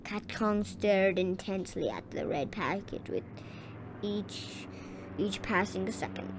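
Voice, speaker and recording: A woman's voice: medium pitch, background noise. A woman reading a children's story aloud with dramatic, emphatic narration. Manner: theatrical, emphatic. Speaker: story reader.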